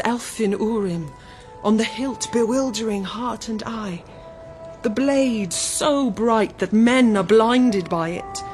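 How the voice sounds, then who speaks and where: Actress as stately queen, unhurried and reverent. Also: medium pitch, a little mellow, natural voice. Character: noble queen recounting wonders of a coronation. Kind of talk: classic verse radio drama monologue.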